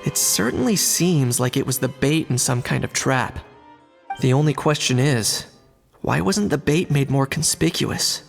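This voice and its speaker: A man's voice: medium pitch, unhurried, gravelly, in a large hall, faint music behind. An actor playing an earnest, observant young man in a video game, talking in a thoughtful, plain-spoken way.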